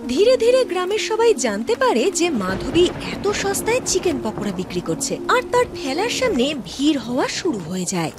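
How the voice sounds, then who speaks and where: A woman narrating a scripted cartoon moral tale as a storyteller. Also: extremely high pitch.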